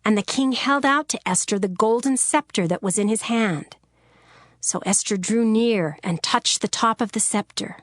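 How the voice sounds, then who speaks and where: A woman narrating scripture in a measured, formal reading. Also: medium pitch, no echo, clean studio sound.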